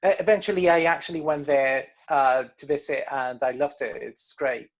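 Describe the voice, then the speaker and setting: Man, hesitant and halting. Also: mid-range voice, steady tone. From Caribbean coast of Colombia. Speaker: band musician being interviewed. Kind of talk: casual interview chat.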